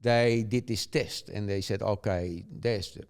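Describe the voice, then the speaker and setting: A man's voice: fairly low pitch, steady tone, measured pace, smooth. An older man from the Netherlands with a Dutch accent, telling stories in casual chat, chuckling often and rambling with tag questions. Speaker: guest.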